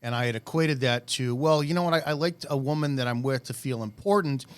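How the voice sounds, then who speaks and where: A man in his forties hosting a podcast, asking questions and sharing his views in a lively, conversational way. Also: mid-range voice, fairly quick. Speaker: podcast host. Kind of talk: casual interview chat.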